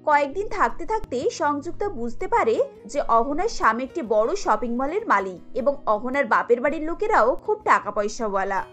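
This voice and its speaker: A woman's voice: high-pitched, fairly bright tone. A woman narrating a family drama cartoon story from a script.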